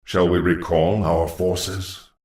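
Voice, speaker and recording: An undead lich from a fantasy game, formally advising a master with sinister gravity. The low voice echoes heavily and sounds set back from the listener. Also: male voice, little pitch movement, takes it slowly, a little mellow. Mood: grave, deferential. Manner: grave, formal. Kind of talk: fantasy game dramatic acting. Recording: echoing, distant-sounding voice.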